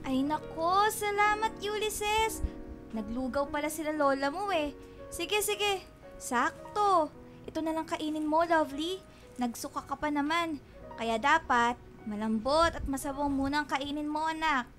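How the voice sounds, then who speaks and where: A woman's voice: sits high, measured pace, fairly bright tone, soft background music. A woman playing a bubbly, fussy, doting middle-aged Filipino mother in a radio drama, exclamatory and nagging. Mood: fussy, caring.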